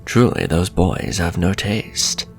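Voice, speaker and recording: A man playing a suave, flirtatious, sinister warlock shopkeeper in a fantasy ASMR roleplay, speaking softly and courteously and drifting into breathy whispers. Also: sits low, sing-song, slow, soft background music, exaggerated voice. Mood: flirtatious, coaxing.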